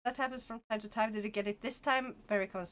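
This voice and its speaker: A woman's voice: a little high, steady tone, fast talker, a bit bright. Bubbly young woman streamer. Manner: bubbly and chatty with viewers. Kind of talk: casual live-stream chat. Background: game music.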